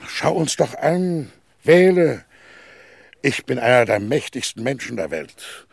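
A man's voice: medium pitch, animated, a little slow, vocal fry, slightly rough, no room sound, studio quality, exaggerated voice. A man acting in a German radio play as a vain, powerful tycoon, pompous and urgent as he argues his case. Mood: boastful, urgent. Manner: pompous, urgent, persuasive, swinging between boasting and confiding.

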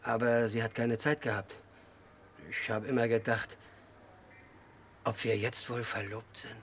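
An actor in a German radio crime play, playing an unhinged, childlike murderer who confesses in halting, disjointed sentences. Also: male voice, medium pitch, flat delivery, husky. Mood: naive, earnest. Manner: halting, disjointed short sentences, swinging from hushed to agitated outbursts. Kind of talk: mid-century German radio crime drama acting.

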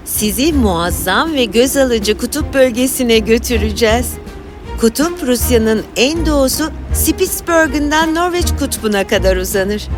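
A woman's voice: on the high side, expressive, measured pace. A woman playing a welcoming cruise announcer in a cartoon fairy tale, formal and polished. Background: music.

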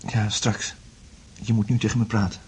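A man's voice: on the low side, level tone, moderate pace, darkish tone, husky. An actor in a Dutch radio play playing a depressed, sleepless, recently separated man who speaks hesitantly. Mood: groggy, troubled. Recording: noisy old radio drama recording.

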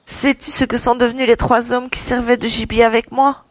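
Woman acting a young heroine, high voice, dry sound. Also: flat delivery, a little fast, no room sound, close to an everyday voice. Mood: relieved, questioning. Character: exhausted young heroine, brave, warm toward her rescuer. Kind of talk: audio drama character acting.

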